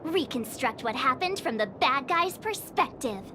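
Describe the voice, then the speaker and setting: A young woman voicing a playful, eager detective heroine in a game dub, bouncy and animated. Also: high voice, a little slow, noisy background. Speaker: player character. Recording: game dub over music.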